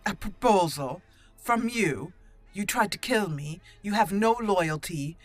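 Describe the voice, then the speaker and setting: A woman hosting a roleplay let's play, voicing dialogue deliberately and theatrically and adding her own commentary. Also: medium pitch, expressive, no room sound, faint music behind. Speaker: gaming channel host.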